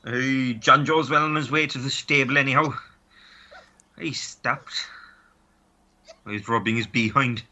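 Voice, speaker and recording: A bemused, easygoing Irish man from a comic radio play, played by an actor. His voice is bright and forward, on a slightly muffled recording, as he looks on curiously. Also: medium pitch, fairly even intonation, a little slow, pauses often, a little hiss or hum. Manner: bemused running commentary.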